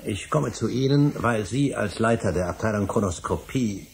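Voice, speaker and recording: An actor playing an earnest, obsessive history scholar in a radio drama, speaking formally and insistently, with pleading emphasis. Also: male voice, lowish voice, expressive, normal speed, creaky, a little hiss or hum. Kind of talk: German radio drama acting.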